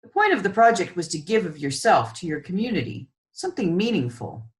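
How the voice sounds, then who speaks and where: A woman narrates a children's book in a fairly low voice. Her reading is animated and measured, with lots of movement in pitch from phrase to phrase. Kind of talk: expressive storybook narration.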